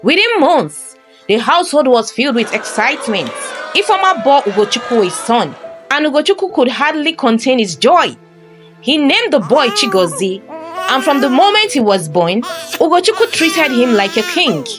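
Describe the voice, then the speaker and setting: A woman's voice: high-pitched, very animated, moderate pace. A woman storyteller giving a scripted, dramatic narration of a folktale. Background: faint music.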